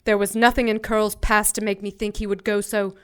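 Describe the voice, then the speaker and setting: A woman's voice: medium pitch, level tone, fairly quick, a bit bright, clean tone, natural voice. A woman playing a principled, defiant angel in an audio drama, speaking firmly and formally. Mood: guarded. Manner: firm, formal, dignified. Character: principled, defiant female angel, calm and steadfast.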